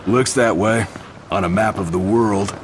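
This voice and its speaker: An actor playing a big, gruff young tough guy in a video game, bossy and teasing. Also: on the low side, a little slow, a little hiss or hum, natural voice. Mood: dry.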